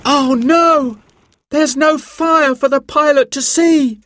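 A man's voice: high-pitched, unhurried, dry recording, voice pushed up. A man voicing an anxious, apologetic young boy who is eager to help, in a children's story. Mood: dismayed.

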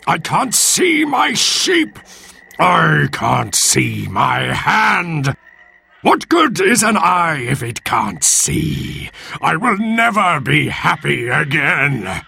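A huge, brutish one-eyed giant from a storybook. The words come out in slow, menacing bellows that swing widely in pitch, with gravel in the voice. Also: male voice, animated, sharp tone, exaggerated voice. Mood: wailing despair. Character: huge hairy one-eyed Cyclops, brutish, menacing man-eating monster. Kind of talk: dramatised children's storybook.

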